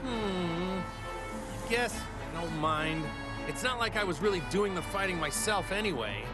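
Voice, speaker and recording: An easygoing, good-natured martial arts hero from an anime game as an actor plays him. His delivery swings widely and is casual and a little naive. Clear music plays behind him. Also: mid-range voice, expressive, a little slow, natural voice. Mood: casual, curious. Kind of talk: anime video game voice acting.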